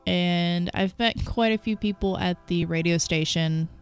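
Stuffy, slightly raspy woman hosting radio. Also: on the low side, measured pace. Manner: self-deprecating, laughs often. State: stuffy and a little raspy from a cold. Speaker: radio show host. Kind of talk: casual chat.

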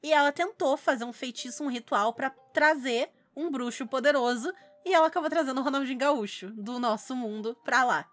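A woman with a southern Brazilian accent from Rio Grande do Sul, co-hosting a casual podcast and telling anecdotes in animated, slangy speech. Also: high-pitched, a little fast, few pauses. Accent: southern Brazilian Portuguese from Rio Grande do Sul. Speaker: podcast co-host. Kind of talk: casual chat, storytelling.

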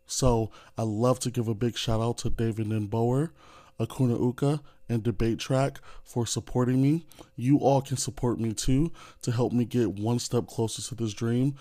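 A man's voice: medium pitch, mellow. A young man hosting a casual podcast interview, effusive and full of ums and likes. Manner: effusive, heavy with fillers. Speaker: podcast host.

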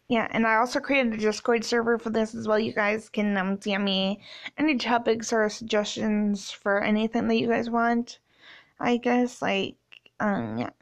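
A woman's voice: fairly high pitch, unhurried. A woman in her twenties from Canada, hosting a casual solo podcast and rambling with many fillers. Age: 20s. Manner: rambling, many ums and likes. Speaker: podcast host.

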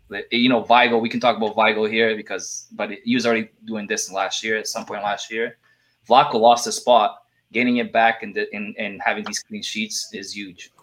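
Excitable man with a foreign accent. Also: fairly low pitch, low-quality recording. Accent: non-native English accent. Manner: excitable, stumbling restarts, swears. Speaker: podcast panelist. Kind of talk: casual sports chat.

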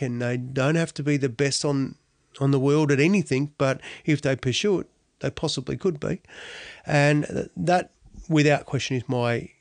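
A man's voice: mid-range voice, no echo. A middle-aged Australian man telling his story earnestly as a podcast guest, with frequent restarts and a bit of mild swearing. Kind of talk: candid interview storytelling.

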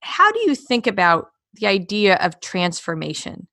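A woman's voice: medium pitch, huge pitch swings, moderate pace, creaky, sharp tone, no echo, studio quality. A woman hosting an interview podcast, putting prepared questions and introductions to her guests.

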